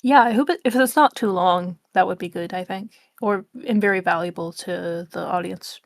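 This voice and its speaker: A woman's voice: fairly low pitch, normal speed, dry recording. Woman in casual chat, dry sound. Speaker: stream co-host.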